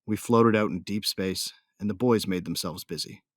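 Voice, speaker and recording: A man's voice: lowish voice, moderate pace, no room sound, clean studio sound, natural voice. An actor playing a brooding, hot-tempered male bounty hunter with a tough, slangy manner, narrating an audio drama in the first person.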